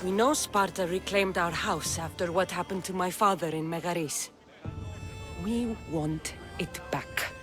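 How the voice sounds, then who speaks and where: A woman's voice: on the low side, unhurried, lightly acted. An actress playing a bold, blunt young Spartan warrior woman in dramatic video game dialogue. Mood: defiant. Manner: blunt, defiant. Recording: loud music behind the voice. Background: loud game music.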